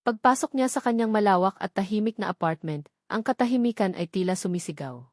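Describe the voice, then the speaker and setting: A woman's voice: mid-range voice, expressive, brisk, dry recording, clean studio sound. A woman narrating a scripted love story in a dramatic storytelling style. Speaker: narrator of audio love stories.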